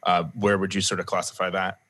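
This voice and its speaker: Deep flat-voiced male co-host. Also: fast talker. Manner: casual, questioning. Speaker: podcast co-host. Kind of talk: casual podcast discussion.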